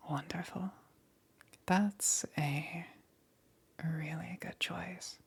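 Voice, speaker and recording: A menacing demon queen, played by a woman for ASMR roleplay, speaking in a very breathy, whispered voice with a gravelly creak. She takes her time with every phrase, extremely slow and coaxing, teasing and commanding at once. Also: on the low side, fairly even intonation, exaggerated voice. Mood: pleased, patronizing.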